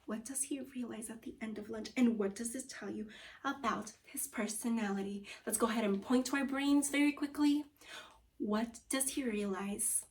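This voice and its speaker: A woman teacher reading a children's story aloud and guiding pupils, expressive and instructive with deliberate phrasing. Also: on the high side, recorded from a distance, slight background noise. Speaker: second grade teacher.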